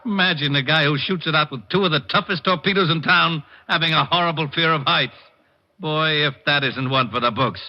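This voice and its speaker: An actor playing a private detective's teasing, wisecracking police friend in a 1940s radio drama. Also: male voice, on the high side, brisk, natural voice. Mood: mocking. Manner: mocking, chuckling, wisecracking. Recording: muffled vintage radio recording.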